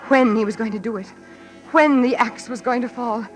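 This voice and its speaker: An actress playing a frightened, haunted young woman in 1940s radio drama, breathless, anguished and halting. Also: on the high side, steady tone, close to an everyday voice. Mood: bitter dread. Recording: vintage radio recording with music behind.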